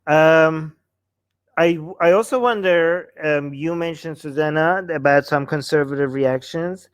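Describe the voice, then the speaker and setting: A man's voice: fairly high pitch, unhurried, clean tone, no echo. A man hosting a panel discussion, speaking emphatically and repeating phrases for stress. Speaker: host of a discussion show.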